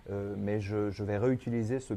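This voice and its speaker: A man's voice: fairly low pitch, fairly even intonation, brisk, warm tone. A middle-aged man from France, a stage performer, chatting in an interview, hesitant and repeating his words. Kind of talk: casual interview. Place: TV studio.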